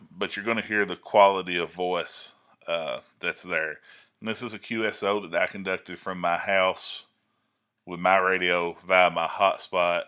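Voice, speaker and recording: A man's voice: sits low, sharp tone, clear, resonant tone. A man giving an informal hobbyist tutorial in a folksy, explanatory way, often checking in with the listener. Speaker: amateur radio hobbyist presenting a tutorial. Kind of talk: informal screen-recorded tutorial.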